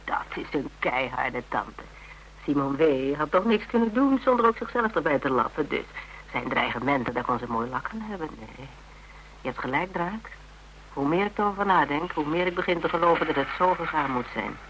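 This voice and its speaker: An actor playing a policeman's sharp, teasing, skeptical confidant in a radio drama, expressive and mocking. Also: fairly high pitch, brisk, a little hiss or hum, poor audio. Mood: animated, conspiratorial.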